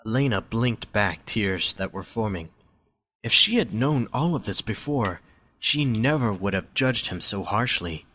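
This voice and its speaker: A man's voice: on the low side, animated, a little slow, bright, forceful tone. A man reading scripted story narration as the narrator of an amateur audio drama.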